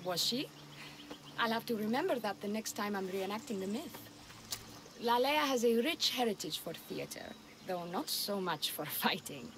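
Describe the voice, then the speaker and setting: A woman's voice: medium pitch, animated, normal speed, noisy background, close to an everyday voice. An actress playing a feisty, headstrong woman warrior, a younger sister, in a video game, forthright and sardonic. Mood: rueful, wry. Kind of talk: video game cutscene acting.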